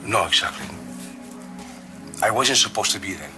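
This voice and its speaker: A man acting as a janitor who witnessed a crime, in an audio drama. His voice is low and hesitant as he gives his account, with music clearly behind him. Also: expressive, moderate pace, close to an everyday voice. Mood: uneasy, explaining.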